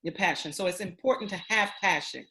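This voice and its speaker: A woman's voice: fairly low pitch, brisk, pushed and bright, a little hiss or hum. A woman, a school principal, hosting an interview and reacting to her guest with enthusiastic, affirming interjections.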